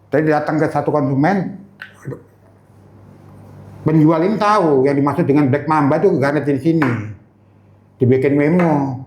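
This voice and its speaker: A man's voice: a little high, moderate pace, pauses often, a little mellow, slight background noise. A middle-aged man talking casually as an interview guest, informal and anecdotal, in a roomy space. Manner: informal, anecdotal, loosely phrased. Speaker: veteran gemstone trader, interview guest. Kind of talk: casual chat. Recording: roomy, echoey sound.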